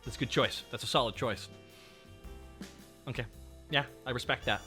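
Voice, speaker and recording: A man's voice: medium pitch, normal speed, soft background music. A male streamer chatting casually and doing cartoon voice impressions. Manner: animated, does cartoon impressions. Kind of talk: casual chat.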